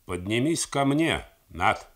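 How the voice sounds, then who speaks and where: A man voicing a gruff navy captain woken at night, curt and grumbling, in a Soviet radio reading of a novel. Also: medium pitch, big pitch swings, slow pace, a bit bright. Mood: irritated. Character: sleepy irritated male navy captain, gruff.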